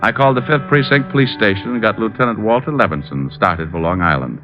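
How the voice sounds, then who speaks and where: Actor as wisecracking radio detective, swooping low voice. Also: male voice, animated, fast talker, close to an everyday voice. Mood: wry narrating. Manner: breezy, sardonic, dry wit. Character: flippant wisecracking male private detective, self-assured and unflappable. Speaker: lead actor and narrator. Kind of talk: old-time radio detective drama. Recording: dull vintage radio recording with music.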